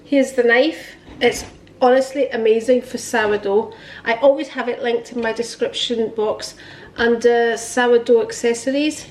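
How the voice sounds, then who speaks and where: A woman's voice: on the high side, steady tone, measured pace, darkish tone, echoey room, a little hiss or hum. A woman in her sixties chatting cheerfully to camera in her home kitchen, vlogging about her day. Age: 60s. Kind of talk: casual chat to camera.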